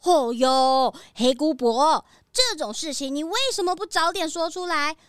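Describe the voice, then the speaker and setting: A woman voicing an excitable villager who exclaims and questions in a children's radio drama. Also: high-pitched, a little slow, no room sound, clean studio sound. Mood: surprised.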